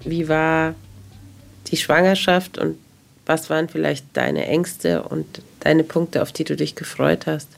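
A woman interviewing and narrating a feature, asking calm, measured questions. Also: low voice, vocal fry, faint music behind.

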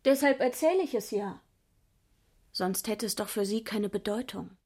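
An actress playing a young barmaid in a radio play, frank and gossipy, talking in a chatty, confiding way. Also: female voice, medium pitch, moderate pace, darkish tone, close to an everyday voice.

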